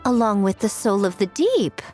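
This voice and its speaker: A woman's voice: medium pitch, very animated, moderate pace, darkish tone, natural voice. An actress playing a playful, scheming, self-assured woman in a video game, with a teasing, coy and poised manner. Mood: intrigued, pleased. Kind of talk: English video game voice acting. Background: game music.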